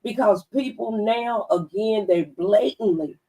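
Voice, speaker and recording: A woman's voice: medium pitch, unhurried, warm tone, recorded from a distance, low-quality recording. A woman hosting a radio talk show, speaking emphatically as if giving testimony. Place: radio studio.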